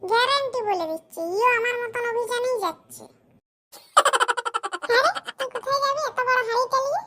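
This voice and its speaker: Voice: very high-pitched, normal speed, pushed and bright, a little hiss or hum. A performer voicing a cheeky, grumbling village boy with a rural West Bengal accent in a comedy cartoon. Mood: bantering. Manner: brash, grumbling colloquial banter. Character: cheeky, mischievous poor village boys with squeaky voices.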